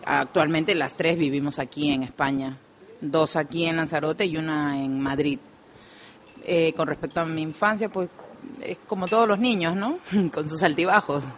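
A woman's voice: low-pitched, fairly even intonation, moderate pace, a little hiss or hum. A woman from Peru with a Peruvian accent, talking casually as a guest in a radio interview.

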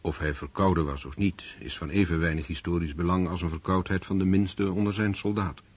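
A man acting as the sober narrator of a historical war story in a radio play, measured and reflective. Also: deep voice, fairly even intonation, slightly rough.

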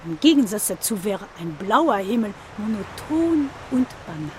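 A woman's voice: medium pitch, very animated, moderate pace, darkish tone, slight background noise, lightly acted. A woman playing a tour guide in a radio play, talking in an informal, conversational, anecdotal way. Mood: chatty, anecdotal. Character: chatty, informal female tour guide at a seaside visitor site. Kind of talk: casual guided-tour talk.

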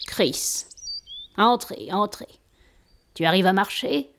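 A woman playing a kindly old master and healer in an audio drama, attentive and gently authoritative. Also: mid-range voice, lively intonation, takes it slowly, slight background noise. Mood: welcoming, caring.

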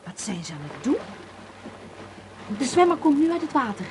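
An actress playing a sceptical, practical female travelling companion in a Dutch radio play, brisk and exasperated. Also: high voice, sing-song. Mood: curious, excited. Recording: very loud car noise behind.

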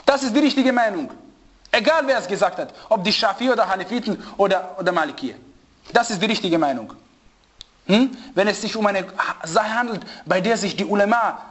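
A man's voice: high voice, a bit bright. A man teaching a religious lesson to students, emphatic and repetitive, with frequent rhetorical questions, sometimes searching for words. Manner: emphatic, repeats key phrases, asks rhetorical questions.

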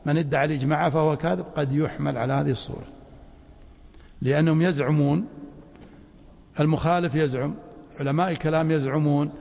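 A man teaching a class on Islamic law in a mosque, quizzing students with quick rhetorical prompts. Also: fairly high pitch, fairly even intonation, gravelly. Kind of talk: classroom lecture with questions to students. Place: mosque study circle. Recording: dull lo-fi recording in a reverberant hall.

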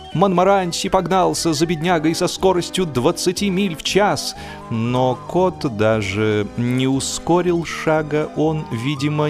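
A man narrating a humorous literary story for an audiobook in an expressive, measured, theatrical way. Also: mid-range voice.

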